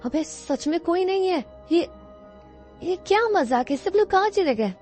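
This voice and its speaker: A woman's voice: extremely high pitch, animated, unhurried, darkish tone, pitched above the performer's own voice. A woman voicing an anxious young man who stammers and repeats words in a horror cartoon. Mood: alarmed. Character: anxious young man, one of five traveling friends. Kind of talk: horror cartoon character acting. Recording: music bed behind the voice.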